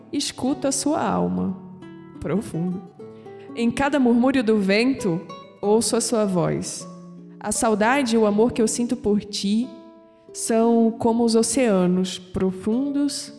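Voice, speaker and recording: A woman playing a young, clumsy, curious granddaughter in an audio drama, expressive, with a Brazilian accent. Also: medium pitch, big-room sound, close to an everyday voice. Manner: expressive, musing aloud to herself.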